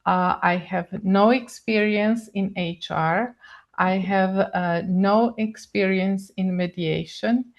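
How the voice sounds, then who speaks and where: Older Croatian woman, slow halting webinar talk. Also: mid-range voice. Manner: halting, slow, with many pauses and fillers. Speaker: guest speaker, neurochemist. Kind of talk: webinar lecture. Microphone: video call.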